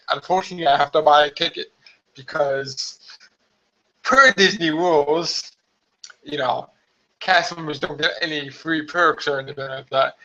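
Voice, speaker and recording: A hoarse man chatting casually on a live stream from home, rambling with many fillers. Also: on the high side, bright, forceful tone. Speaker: vlogger hosting a live stream. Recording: slightly dull lo-fi live stream audio.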